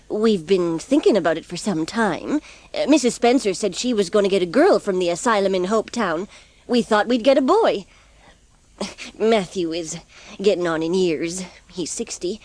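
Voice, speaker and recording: A woman playing a plain-spoken, firm older farm woman in an audiobook drama, measured and matter-of-fact. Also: medium pitch, a little mellow, lightly acted.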